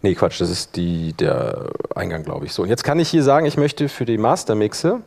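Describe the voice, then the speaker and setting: A man teaching a live software workshop, explaining step by step in an informal spoken style. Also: fairly low pitch, normal speed, gravelly, a little hiss or hum. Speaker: workshop instructor. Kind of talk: live software demonstration to an audience.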